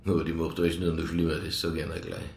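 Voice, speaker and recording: An actor playing a fat, hard-drinking, weary police inspector in a radio crime drama, dry and blunt. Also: male voice, extremely low pitch, little pitch movement, rapid-fire, creaky, a little mellow. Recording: roomy radio play studio sound.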